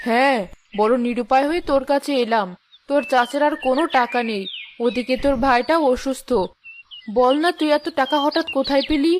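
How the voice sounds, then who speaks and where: A woman's voice: high-pitched, brisk, a little hiss or hum, natural voice. A woman voicing a greedy, scolding middle-aged aunt who talks sharply and accusingly, in a cartoon. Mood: scheming. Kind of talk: cartoon voice acting.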